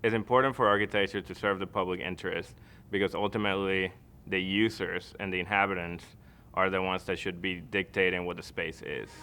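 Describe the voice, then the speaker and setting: A man's voice: low-pitched, fairly even intonation, a little fast, bright, forceful tone, slight background noise. A man from Costa Rica speaking earnestly and reflectively in an interview testimonial, as an architect. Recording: music behind the voice. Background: background music.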